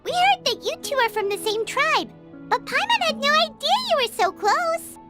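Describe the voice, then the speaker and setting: A woman's voice: sing-song, normal speed, bright, forceful tone, faint music behind, full character voice. A woman voicing a tiny, childlike floating fairy companion in a video game, perky and nosy, speaking of herself in the third person. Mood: curious. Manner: squeaky, talks of self in third person.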